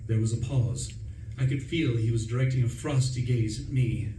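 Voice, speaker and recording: A man acting a flustered, breezy young upper-class English gentleman who narrates a radio play in pompous, chatty old-fashioned slang. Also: medium pitch, moderate pace, natural voice. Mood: breezy, self-satisfied. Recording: roomy, distant stage recording with faint noise.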